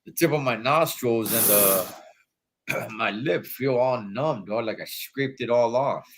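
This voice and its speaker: A man's voice: mid-range voice, slow, background noise. A man with a stuffy nose from allergies, hosting a casual wrestling livestream from a hotel room in laid-back slangy talk. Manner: laid-back slangy talk, calls viewers dog and Holmes.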